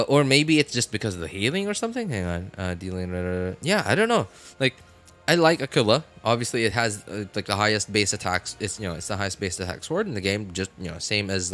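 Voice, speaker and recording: A man talking casually on a live game stream in a rambling, halting way, often correcting himself. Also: fairly low pitch, a bit bright.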